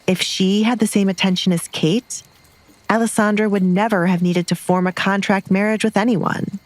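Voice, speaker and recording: A woman's voice: medium pitch, steady tone, moderate pace, dry recording, poor audio. A woman narrator reading scripted romance fiction as a storyteller.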